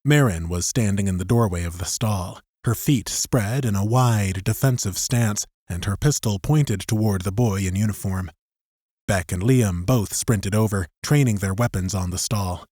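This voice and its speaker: A man's voice: lowish voice, moderate pace, warm tone, dry recording, clean studio sound. A man reading scripted fiction as an audiobook narrator, in a dramatic storytelling style.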